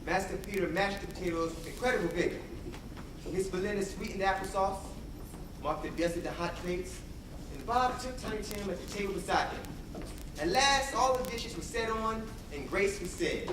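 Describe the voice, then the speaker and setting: A storyteller narrating a Victorian tale in a stage play, played by a man. The voice is high and theatrical. It sounds far from the microphone, with clear noise around it. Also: normal speed, close to an everyday voice. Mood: storytelling. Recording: echoey, distant, noisy stage recording.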